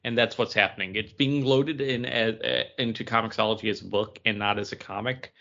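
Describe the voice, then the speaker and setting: A man's voice: lowish voice, steady tone, fairly quick, creaky, bright, forceful tone, lo-fi sound. A man co-hosting a podcast, explaining things in casual conversation. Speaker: podcast co-host.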